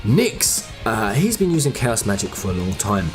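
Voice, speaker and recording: A man's voice: lowish voice, sing-song, normal speed, soft background music. A man giving informal fan theory commentary as a channel host, speaking emphatically and directly to his viewers. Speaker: host of a video game lore channel.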